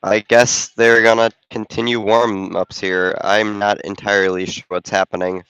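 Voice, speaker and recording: A man's voice: lowish voice, level tone, moderate pace, fairly bright tone, clear, resonant tone, no room sound, poor audio. A man doing casual play-by-play commentary while also running the camera, congested from a cold, chatting and thinking aloud. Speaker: volunteer game commentator and camera operator. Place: school gym during a basketball game.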